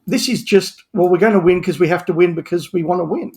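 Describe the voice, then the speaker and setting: A man's voice: sits high, quick pace, darkish tone. A man discussing audio drama on a podcast, speaking emphatically and repeating words as he builds his argument. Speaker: podcast panelist.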